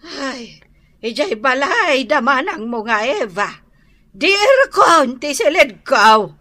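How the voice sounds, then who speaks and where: A woman's voice: high-pitched, sing-song, slow, sharp tone, heavily acted. A woman with an Ilocano Filipino accent playing a forgetful, querulous mother in her seventies in a radio drama, hesitant and full of small interjections.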